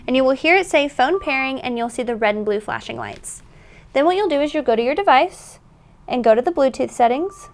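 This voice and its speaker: Woman doing a how-to product demo, talks fast, high voice, step by step. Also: animated. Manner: instructional, step-by-step. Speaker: product presenter. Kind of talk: tutorial narration.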